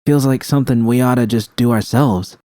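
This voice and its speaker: A man's voice: mid-range voice, fairly even intonation, a little fast, warm tone, dry recording, clean studio sound, voice pushed up, natural voice. A man voicing a tender, distraught young woman who speaks hesitantly and pleadingly in a fan fiction story. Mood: doubtful. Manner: pleading, hesitant, swearing emotionally. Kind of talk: audio fan fiction dialogue.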